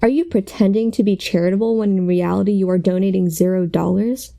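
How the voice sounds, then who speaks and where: A woman's voice: mid-range voice, fast talker, vocal fry, mellow, natural voice. A woman playing a self-righteous, accusatory TV news reporter in a comic radio play. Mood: sanctimonious, accusing. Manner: sanctimonious, interrogating.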